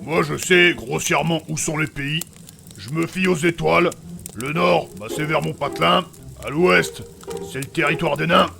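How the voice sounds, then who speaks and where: A man playing a crude, boastful, blunt wandering tough guy in a French fantasy comedy audio drama, with a gravelly voice. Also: on the high side, normal speed, bright, forceful tone, faint music behind, full character voice. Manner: blunt, mocking, vulgar.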